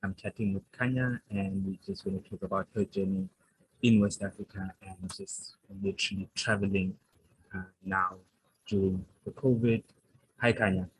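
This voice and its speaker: A man's voice: low-pitched, steady tone, moderate pace, slightly rough, low-quality recording. A man hosting a podcast interview, asking loose, casual questions full of fillers. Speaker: podcast host.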